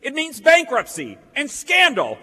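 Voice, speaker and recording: A man's voice: very high voice, wildly swooping pitch, unhurried, bright, forceful tone, exaggerated voice. An actor playing an exasperated family man facing ruin in a stage radio play, speaking urgently. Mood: furious. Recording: reverberant theatre hall.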